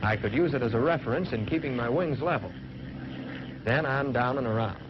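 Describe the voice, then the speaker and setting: An American man playing an earnest fighter pilot trainee, narrating a 1940s training film in measured, matter-of-fact phrases, on an old film soundtrack. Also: medium pitch, lightly acted. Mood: earnest, composed. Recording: muffled, noisy vintage 1940s film soundtrack.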